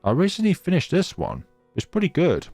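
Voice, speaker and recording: A man acts out an earnest, awkward young traveler, the chatty hero of a visual novel. The voice is lively and self-deprecating, recorded dry over faint music. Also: fairly high pitch, brisk, no room sound, voice pushed up, full character voice. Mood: eager, awkward.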